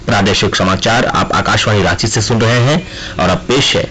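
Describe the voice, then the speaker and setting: A man's voice: fairly low pitch, moderate pace, sharp tone, soft background music. A man reading a formal, scripted regional news bulletin on the radio.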